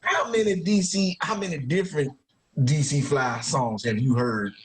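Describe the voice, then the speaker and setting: A man's voice: a little high, normal speed. A man hosting a podcast in casual, slangy banter peppered with profanity. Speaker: podcast host.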